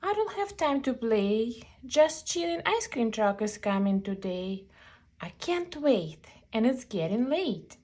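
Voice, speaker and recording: A woman voicing an eager, kind young male cat in a children's storybook, with a rushed, rhyming, emphatic delivery. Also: a little high, big pitch swings, a little mellow, heavily acted. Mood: hurried, eager.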